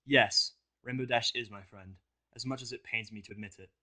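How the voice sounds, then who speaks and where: A man's voice: medium pitch, fairly even intonation, fairly quick, sharp tone. Casting: male narrator, middle register, for scripted first-person audio fiction.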